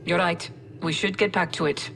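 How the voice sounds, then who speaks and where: A composed game officer, wry and familiar as she briefs. Her voice sits low with plenty of creak, and there is faint noise behind it. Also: steady tone, normal speed, a bit bright. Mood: measured, confiding. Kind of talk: conversational video game briefing.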